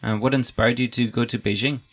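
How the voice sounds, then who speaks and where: A young man interviewing a guest in a casual, relaxed way, often restarting his sentences and chuckling. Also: mid-range voice, fairly quick.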